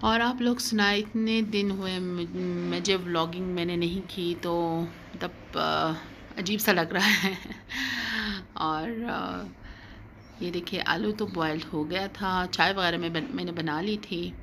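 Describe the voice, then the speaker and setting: A woman's voice: fairly low pitch, fairly even intonation, takes it slowly, a bit bright. A middle-aged woman narrating a casual home vlog in a hesitant way, often repeating her phrases. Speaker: vlogger. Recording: clear background noise, home ambience.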